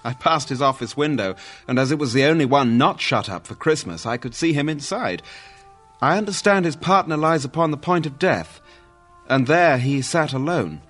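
Genial clergyman, affectionate, lively intonation, mid pitch, radio drama, faint music. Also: normal speed. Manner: genial, tender. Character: kindly, affectionate reverend and husband.

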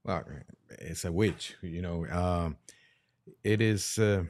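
A man with a low voice, a native speaker of Spanish, talks as a priest invited onto a podcast. His conversational commentary comes out hesitantly, in halting phrases. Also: gravelly, a little mellow.

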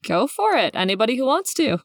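A woman running a tabletop game, explaining rules in a clear, matter-of-fact way. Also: fairly high pitch, sing-song, fast talker, dry recording, studio quality. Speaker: game master.

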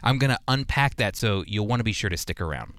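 A man's voice: lowish voice, expressive, fast talker, over music. A man hosting a radio Bible teaching program, speaking conversationally and repeating phrases for emphasis.

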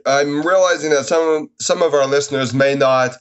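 A man's voice: fairly high pitch, moderate pace, clean tone, muffled recording. A man hosting a podcast while fighting a cold, friendly and stumbling over his words a little. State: has a cold. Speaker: podcast host.

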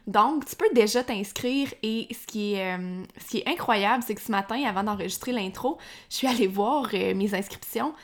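A woman's voice: medium pitch, normal speed. Brief: young Québécois woman, solo podcast host, casual and direct, a little stuffed up. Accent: Québécois French. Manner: chatty, informal, addresses listener directly, frequent "euh" restarts. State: slightly congested, frog in throat.

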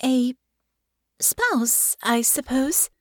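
A high, swooping voice belongs to a shy young noblewoman, as a woman narrator puts her on. She speaks slowly and breathily, with flustered hesitations. The sound is dry and close. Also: animated, no room sound, full character voice. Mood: bashful. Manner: hesitant, breathy and soft-spoken. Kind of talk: audiobook character voice.

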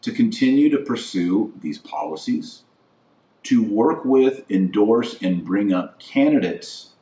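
A man's voice: on the low side, animated, slow, dark tone. An American man hosting a podcast, delivering an earnest political and religious monologue with emphatic repetition and building lists. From United States. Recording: roomy echo, distant from the mic.

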